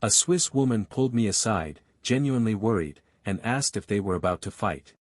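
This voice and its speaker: Man, narrator, low voice, calm reading, dry recording. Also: fairly quick, no room sound. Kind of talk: reading aloud a first-person online story.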